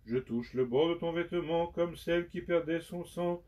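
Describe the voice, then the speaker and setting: A man giving a slow, solemn liturgical recitation of penitential prayers in measured, prayerful phrases. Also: a little high, a little mellow. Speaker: liturgical reader. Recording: dull sound from far off the mic.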